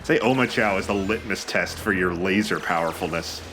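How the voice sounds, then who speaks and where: A man co-commentating on a video game in a casual style, making deadpan jokes. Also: low-pitched, moderate pace, over music. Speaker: let's play co-commentator.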